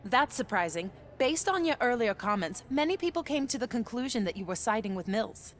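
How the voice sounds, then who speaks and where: A woman's voice: a little high, sing-song, fairly quick, a little hiss or hum. An actress playing a composed, persistent news reporter in a game cutscene, articulate and formal as she puts pointed questions. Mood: pressing.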